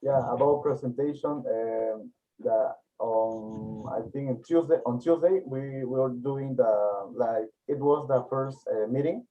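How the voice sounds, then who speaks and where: A hesitant teenage boy, pausing often and searching for words. His voice comes through a tinny, phone-like video call line. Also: medium pitch. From Peru. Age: teens. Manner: hesitant, many fillers.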